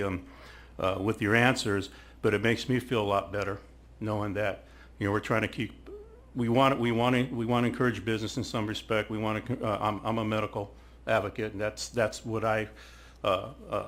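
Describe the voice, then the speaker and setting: A man speaking as a council member at a public meeting, halting and repeating himself as he searches for words. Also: lowish voice, fairly bright tone. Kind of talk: deliberative public meeting remarks.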